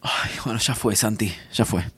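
An actor playing a cheeky, warm-hearted young Argentine man who travels in time, in a naturalistic audio drama. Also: fairly low pitch, normal speed, lightly acted. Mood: teasing. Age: young adult.

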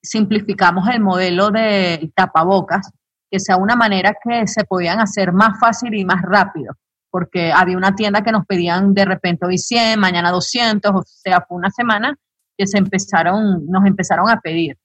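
A woman's voice: mid-range voice, steady tone, normal speed, breathy edge, no room sound. A woman, a textile workshop owner giving a plain, matter-of-fact interview. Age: 30s.

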